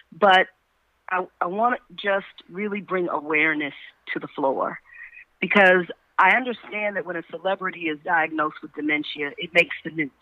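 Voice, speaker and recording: A woman speaking over the phone as an interview guest, earnest, deliberate and emphatic. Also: on the low side, no echo. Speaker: stage actress, interview guest. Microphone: telephone. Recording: dull, dry phone-in line.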